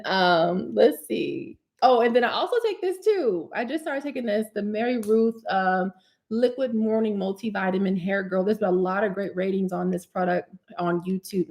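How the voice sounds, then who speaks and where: A woman in her thirties hosting a live hair care Q&A, chatty and animated, calling viewers honey and sis. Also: mid-range voice. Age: 30s. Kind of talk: live stream Q&A, casual chat.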